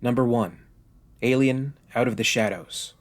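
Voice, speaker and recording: A man's voice: mid-range voice, unhurried. A man narrating a scripted video essay as the host of a fan review channel.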